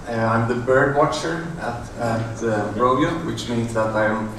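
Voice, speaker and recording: A middle-aged man giving a conference talk in a large hotel room, halting with many fillers and restarts. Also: medium pitch, a bit bright. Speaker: conference presenter. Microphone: room audio from a far camera. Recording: echoey, distant room recording with noise.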